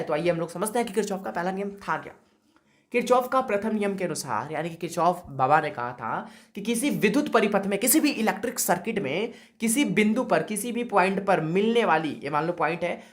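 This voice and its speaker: Hoarse man teaching physics. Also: a little high. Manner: chatty, repeats "okay" and calls students "brother". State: sore throat. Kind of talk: exam-prep lesson.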